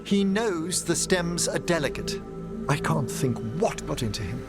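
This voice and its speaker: An elegant, expressive older necromancer scholar. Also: male voice, fairly high pitch. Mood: exasperated, fond. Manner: formal, measured, erudite. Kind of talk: dramatic video game dialogue. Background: game music.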